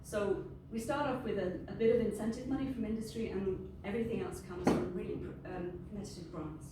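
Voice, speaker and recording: A woman's voice: mid-range voice, a little fast. A middle-aged Welsh woman whose first language is Welsh, giving a friendly, unscripted talk to a group. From Wales. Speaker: industry panel speaker. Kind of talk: informal presentation to a group. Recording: very echoey room, distant mic, ambient noise.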